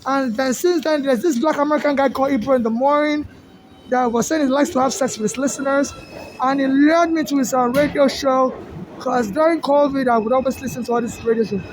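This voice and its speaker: A woman rambling through a long personal monologue, halting and restarting often. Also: high voice, background noise.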